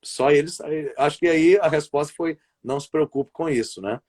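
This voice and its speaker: Brazilian wrestling commentator guy, kinda high voice, rambly, talking on a video call. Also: normal speed, darkish tone. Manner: rambling, restarts often, tags sentences with "né" and "sabe". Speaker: wrestling commentator and play-by-play announcer. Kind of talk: casual interview chat over a live stream.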